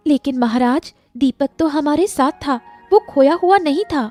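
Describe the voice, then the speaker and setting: Woman acting storybook queen, soft mellow voice, gentle, sincere, slightly high. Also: moderate pace, faint music behind, close to an everyday voice. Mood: earnest. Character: gentle, forgiving fairy-tale queen. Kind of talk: animated Hindi moral-story dialogue.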